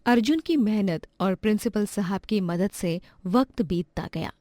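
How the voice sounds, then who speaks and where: A woman's voice: medium pitch, unhurried, warm tone, dry recording, clean studio sound. A woman delivering scripted narration for a moral bedtime story.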